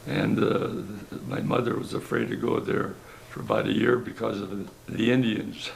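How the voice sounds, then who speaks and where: An older man recounting family history in a flat, low-key way. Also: normal speed, vocal fry, slight background noise, low-quality recording. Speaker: interviewee.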